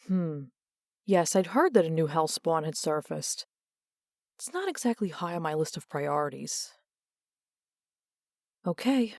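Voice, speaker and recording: A woman's voice: lowish voice, darkish tone, dry recording, studio quality. A woman playing a curt, officious angelic boss with a clipped, sardonic manner in a comic dub. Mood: weary, grudging. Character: curt, officious female heavenly bureaucrat boss.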